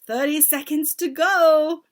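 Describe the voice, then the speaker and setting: Shrieking, commanding storybook witch, high and drawn-out. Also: lively intonation, fairly bright tone. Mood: gleeful countdown. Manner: theatrical, barking, drawn-out delivery. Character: commanding, gleefully cruel Grand High Witch.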